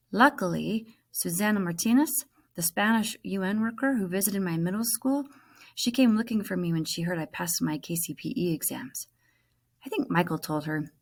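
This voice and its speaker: A woman's voice: fairly low pitch, gravelly. A woman teacher reading a children's book aloud as first-person narration, expressively stressing key words.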